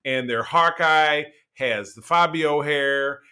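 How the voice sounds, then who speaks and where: Casting: American man, 40s, bright punchy tone on the higher side, exuberant casual podcast banter. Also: takes it slowly, smooth. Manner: exuberant, joking, rambling. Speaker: podcast co-host. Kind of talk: casual chat.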